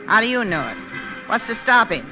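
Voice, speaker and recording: An actress playing a sly, gossipy circus fortune-teller in an old radio drama, wheedling and insinuating in folksy slang with a creaky voice. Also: female voice, a little high, very animated, fairly quick. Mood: sly, prying. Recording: muffled old radio recording, music behind.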